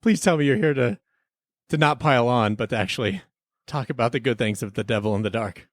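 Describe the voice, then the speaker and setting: A man's voice: mid-range voice, fairly quick, dry recording, studio quality. A man hosting a podcast with casual, comic banter in a jokey, pleading manner. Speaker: podcast host.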